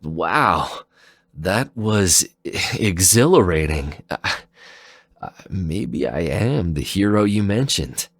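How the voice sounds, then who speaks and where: A man voicing an amnesiac android warrior who sounds bewildered, gentle and sincere, hesitant and wondering, in a dramatic fan fiction reading. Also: deep voice, sing-song, takes it slowly, dry recording, clean studio sound, voice pushed up, full character voice. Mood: giddy, shy.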